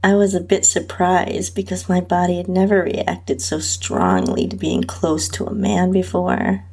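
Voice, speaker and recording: Husky, low-pitched woman narrating erotica. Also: measured pace, warm tone. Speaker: podcast host and narrator. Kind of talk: first-person erotic audio story narration.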